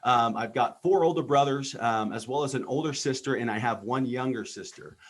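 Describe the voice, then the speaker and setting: Podcast host, man in his 30s, from Texas, earnest and rambling, mid-pitched voice, lots of ums. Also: normal speed, a little hiss or hum. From Texas, United States. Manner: earnest, lots of filler ums and repeated words. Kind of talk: motivational personal monologue.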